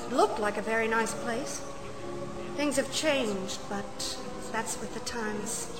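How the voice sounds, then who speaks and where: A woman's voice: a little high, slow. A woman playing a wary young librarian who speaks firmly, by turns frightened and joyful. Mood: guarded. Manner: firm, then frightened screaming. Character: guarded young librarian, later joyful wife. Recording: echoey, music playing behind.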